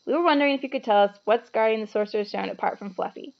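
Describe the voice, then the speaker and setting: A woman's voice: a little high, rapid-fire, creaky, dull audio. Woman reading as an anxious, inquisitive schoolboy. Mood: curious. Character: inquisitive, anxious young schoolboy from a children's fantasy.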